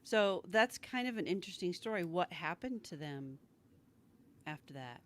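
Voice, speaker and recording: A woman's voice: on the low side, expressive, moderate pace, fairly bright tone. A middle-aged woman co-hosting a casual fan chat in a folksy way. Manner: folksy, says y'all. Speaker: live stream co-host.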